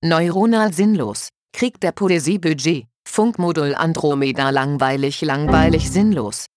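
A performer voicing a cold, bored alien logbook computer that lists words flatly and repeats itself. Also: medium pitch, measured pace, dry recording, studio quality, soft background music, lightly acted. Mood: monotone. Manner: flat repetitive listing of words.

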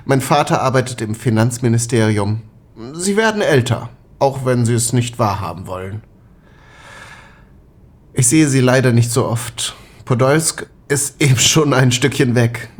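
Casting: young Soviet man, husky, reflective and shy, intimate radio drama dialogue. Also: medium pitch, expressive, a little slow. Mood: friendly, curious.

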